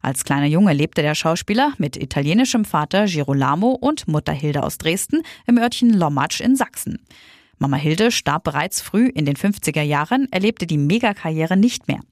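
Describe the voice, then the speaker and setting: A female newsreader with a somewhat low voice reads scripted news in an upbeat tabloid manner. The studio sound is dry and close. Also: lively intonation, moderate pace, dry recording.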